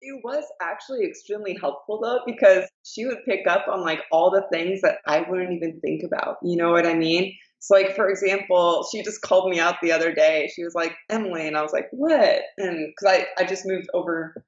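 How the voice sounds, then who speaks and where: A woman's voice: fairly low pitch, fairly quick, hardly any pauses, echoey room, dull audio. A woman in her twenties from Iowa, a fitness coach telling upbeat stories as a podcast guest. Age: 20s. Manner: upbeat, storytelling. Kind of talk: casual chat.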